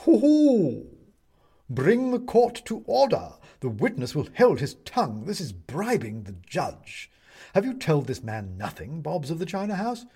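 A man voicing a pompous ghost judge in an owl ornament, with declamatory, drawn-out courtroom oratory, in a storybook reading. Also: fairly high pitch, wildly swooping pitch, mellow, breathy edge, voice pushed up, exaggerated voice. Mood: outraged.

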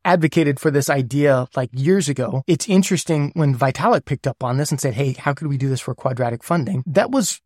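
A man being interviewed as a guest who explains ideas step by step with worked examples. He often checks in with a quick "right?". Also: mid-range voice, animated, a little mellow, no echo, clean studio sound. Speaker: guest, governance lead.

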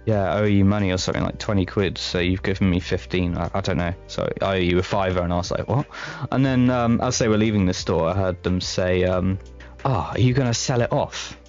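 A man's voice: deep voice, brisk, a little mellow, highs cut off, soft background music. A man co-hosting a casual podcast, rambling and hesitant, with plenty of fillers. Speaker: podcast co-host. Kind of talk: casual chat.

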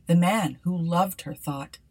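A woman reading an old fairy tale aloud for a podcast, often dropping to a hushed whisper. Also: lowish voice, slow pace, husky. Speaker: podcast storyteller.

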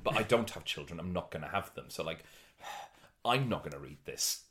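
A Welsh man with a low voice talks as a comedy podcast guest. Welsh is his first language, and his casual delivery is emphatic, often cutting into his own sentences. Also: expressive, moderate pace, a bit bright. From Wales.

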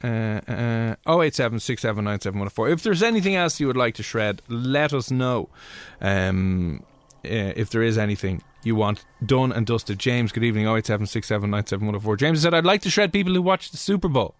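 Fast-talking Irish male radio host. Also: mid-range voice, lively intonation. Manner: fast, joking, teasing. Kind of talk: casual radio chat reading listener messages.

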